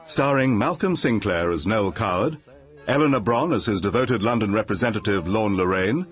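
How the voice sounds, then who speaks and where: A man announcing the scripted credits of a radio play in a husky voice. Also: fairly low pitch, expressive, normal speed.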